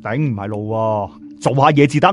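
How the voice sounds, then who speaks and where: A man voicing a sly, quick-witted man with an exclamatory, colloquial manner in an audiobook story. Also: lowish voice, music in the background, voice pushed down, lightly acted. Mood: sly, exclaiming.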